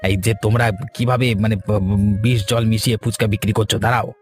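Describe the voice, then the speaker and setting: A man's voice: lowish voice, level tone, fast talker, faint music behind, close to an everyday voice. A man playing a sly, argumentative village troublemaker in a cartoon, insistent in his manner. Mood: accusing. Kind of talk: Bengali cartoon story acting.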